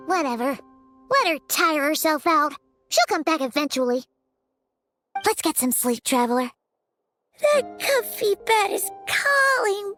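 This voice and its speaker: A woman voicing a small, chatty fairy-like girl in a video game, excitable, cheeky and referring to herself in the third person. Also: very high voice, heavily acted. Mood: friendly, pouty. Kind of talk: animated video game voice acting.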